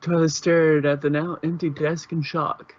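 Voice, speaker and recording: A man's voice: on the high side, flat delivery, unhurried, lo-fi sound. A man reading scripted story narration, as the narrator of an amateur audio drama.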